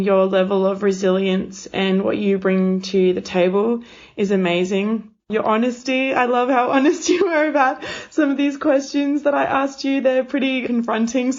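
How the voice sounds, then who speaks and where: A woman's voice: mid-range voice, muffled recording. A woman hosting a casual interview, talking in an encouraging, rambling, conversational way.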